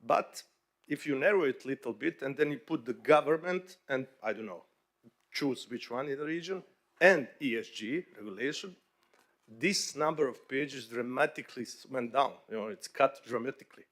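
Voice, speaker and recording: A man from Bosnia speaks English as an at a conference panel. He talks in a halting, conversational way, with frequent fillers and restarts. His voice sits at a middle pitch. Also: fairly bright tone. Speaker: bank executive panelist.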